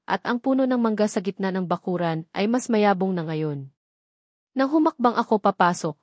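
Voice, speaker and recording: A woman with a Filipino accent reading a scripted first-person dramatic story in an earnest, measured storytelling manner. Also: lowish voice, darkish tone, dry recording, clean studio sound. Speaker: story narrator.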